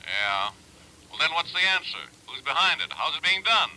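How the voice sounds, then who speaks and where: Sharp, filtered-sounding intelligence chief. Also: male voice, fairly high pitch, very animated, heavily acted, low-quality recording. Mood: businesslike. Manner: brisk, questioning, matter-of-fact. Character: gruff, businesslike male intelligence chief. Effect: telephone or radio filter. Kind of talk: old-time radio drama acting.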